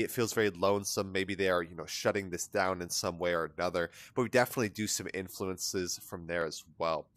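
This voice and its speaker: A man's voice: on the low side, no room sound. A man hosting an art analysis channel, talking casually through an image with lots of "kind of" and "you know" fillers. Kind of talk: casual spoken art commentary. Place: voice-over on a screen recording of an image viewer.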